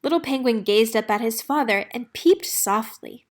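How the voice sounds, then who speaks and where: A woman narrating a children's story aloud in an expressive read-aloud style. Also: fairly high pitch, normal speed. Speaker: storybook reader.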